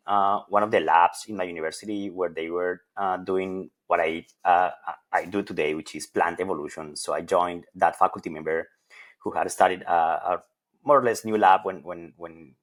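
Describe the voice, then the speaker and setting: Casting note: middle-aged man from Colombia, very low voice, academic, halting, repeats words while thinking. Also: steady tone. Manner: thoughtful, many hesitations and repeated words. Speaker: associate professor of plant evolution. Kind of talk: interview answers.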